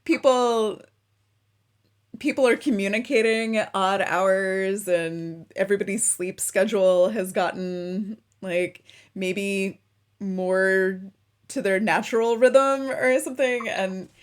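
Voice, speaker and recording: Woman host, unhurried pace, easygoing, casual slang, relaxed chat. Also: medium pitch, clean tone. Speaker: interviewer, host. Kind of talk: casual conversation.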